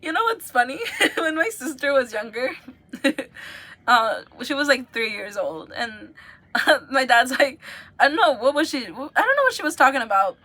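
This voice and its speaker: A woman's voice: high voice, sing-song, normal speed, bright, forceful tone. A young woman from the United States, chatting casually and giggling as she rambles to viewers on a livestream.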